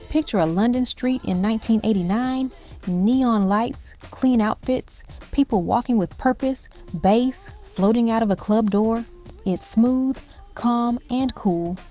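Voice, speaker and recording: A woman's voice: a little high, unhurried, warm tone. A woman reading scripted music countdown narration, telling it like an evocative story in short dramatic phrases.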